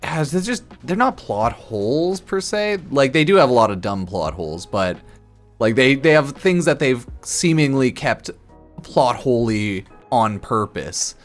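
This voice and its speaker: A man streaming casual, rambling commentary on a game, loose and halting in an informal, slangy, slightly tipsy way. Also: medium pitch, animated. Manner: informal, crude slang and swearing. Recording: music behind the voice. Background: background music.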